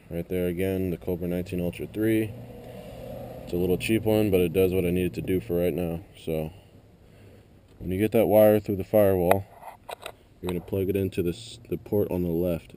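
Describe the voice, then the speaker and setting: Deep, very dark-voiced casual man. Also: fairly even intonation, normal speed, long pauses. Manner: plain-spoken, unscripted, explanatory. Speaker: amateur installer giving a car tutorial. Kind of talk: casual hands-on how-to demonstration. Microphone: action camera. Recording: noisy action camera, vehicle ambience.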